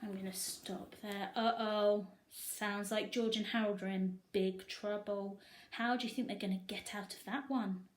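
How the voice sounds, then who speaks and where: A woman reading a storybook aloud to young children in an expressive storyteller style. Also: medium pitch, a little slow.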